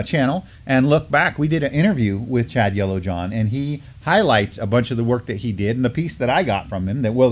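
A middle-aged man with a medium-pitched voice co-hosts a morning live stream. His delivery is conversational and loose, often halting and rambling as he chats informally at the studio desk. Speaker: morning show co-host. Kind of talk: casual chat on a news live stream. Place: indoor studio desk in front of a backdrop.

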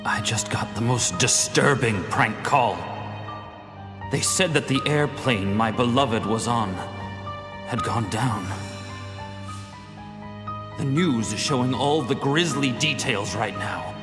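A man's voice: medium pitch, slow, long pauses, music in the background, natural voice. An actor playing a grieving, desperate archaeologist narrating his journal in a video game, brooding and theatrical. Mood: anguished.

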